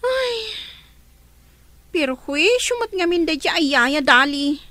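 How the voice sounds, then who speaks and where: A woman playing a troubled, sharp-tongued teenage daughter in a radio drama. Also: high-pitched, sing-song, slow, no echo, exaggerated voice. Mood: upset.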